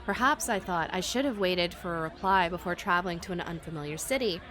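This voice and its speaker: A woman livestreaming a visual novel, reading the text aloud and reacting to it in an expressive, giggly way. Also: on the low side, brisk, some chatter behind. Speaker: streamer playing a visual novel. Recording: music behind the voice. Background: game music.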